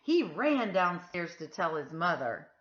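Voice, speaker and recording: Animated woman reading a children's story. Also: fairly low pitch, highs cut off. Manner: animated, deliberate, emphatic phrasing. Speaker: reader of a children's picture book, teacher. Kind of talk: expressive read-aloud storytelling for children.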